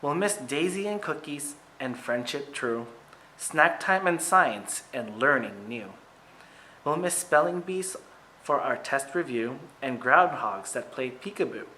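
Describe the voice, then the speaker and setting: Bright-voiced man reading a children's story aloud. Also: mid-range voice, animated, unhurried, a little hiss or hum, poor audio. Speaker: teacher reading aloud to pupils. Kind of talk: picture book read-aloud with asides.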